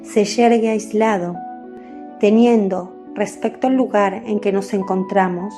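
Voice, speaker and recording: A woman giving a measured, descriptive scripted narration as the narrator of a radio drama. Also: medium pitch. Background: faint music.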